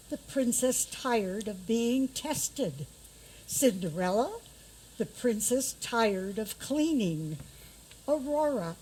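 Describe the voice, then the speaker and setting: A woman's voice: fairly high pitch, warm tone, low-quality recording. A woman narrating a scripted fairy tale introduction for a radio theater play. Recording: faint background noise.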